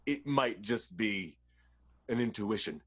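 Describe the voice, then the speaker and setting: An actor playing an earnest, coaxing schemer in an improvised comic radio soap, with an emphatic, melodramatic delivery. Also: male voice, fairly low pitch, expressive, slow, slightly rough, exaggerated voice. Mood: scheming. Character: earnest, coaxing male schemer rallying his partners.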